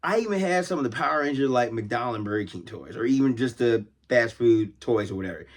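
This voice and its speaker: A man's voice: mid-range voice, lively intonation, quick pace. Casual, enthusiastic man rambling to viewers. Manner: rambling, enthusiastic, exclaiming over details. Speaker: host of a toy collecting video series. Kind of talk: casual off-the-cuff toy show-and-tell.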